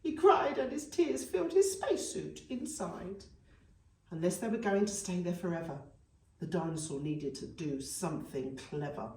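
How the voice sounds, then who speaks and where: A woman tells a children's story with big, lively swings in a slightly low voice. Her delivery is unhurried and dramatic, made for young listeners. The sound is roomy and a little away from the microphone. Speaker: nursery storyteller. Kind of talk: expressive read-aloud children's story. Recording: echoey room, distant mic.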